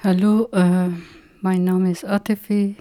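A middle-aged woman from Afghanistan with an Afghan accent, haltingly telling her personal story with many pauses. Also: mid-range voice, flat delivery, very noisy. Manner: halting, many hesitations, slow and searching for words. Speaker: interviewee. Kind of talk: personal testimony.

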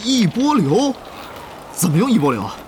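A man's voice: high voice, normal speed, noisy background. A man playing a practical young guild leader in a gaming audio drama, earnest and easily exasperated. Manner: earnest, flustered, expressive. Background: faint music.

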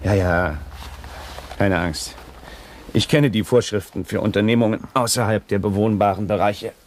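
An actor playing a sober, pragmatic technician in a dystopian radio drama, speaking in a dry, matter-of-fact way. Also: male voice, fairly low pitch, moderate pace, lightly acted. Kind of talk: German radio drama acting. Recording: noisy, vehicle hum behind. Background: vehicle engine hum.

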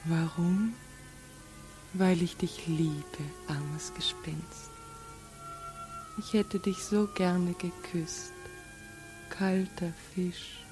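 Young woman in love, radio drama, drawn-out wistful delivery, soft music behind. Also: on the low side, steady tone, rough recording. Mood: tender, longing. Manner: lingering, wistful. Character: tender, loving, sorrowful young woman. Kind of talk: 1940s radio drama acting. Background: faint music.

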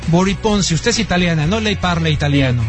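A man's voice: a little high, lively intonation, fast, music in the background. A man hosting a radio interview, conversational and often jumping in, with filler words. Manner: conversational, interjecting, uses "este". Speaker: radio host. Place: radio studio.

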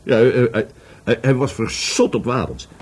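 An actor playing an evasive, volatile middle-aged businessman questioned by police in a radio play, husky, stammering and repeating his words. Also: medium pitch, moderate pace, slight background noise, close to an everyday voice. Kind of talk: Dutch radio play acting.

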